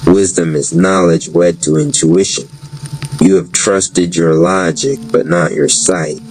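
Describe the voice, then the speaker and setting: A solemn, wise owl spirit voiced by a man in a fantasy audio drama. The voice sits low and gives grave counsel in measured aphorisms. There is noticeable noise in the recording. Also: lively intonation, creaky, a little mellow, exaggerated voice, poor audio.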